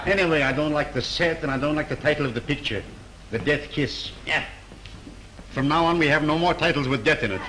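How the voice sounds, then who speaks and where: A man's voice: a little high, a little fast, sharp tone. An actor playing a nervous, superstitious male studio worker who talks in folksy slang, in 1930s film style. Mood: jittery. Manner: uneasy, folksy slangy speech. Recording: noisy early sound film track.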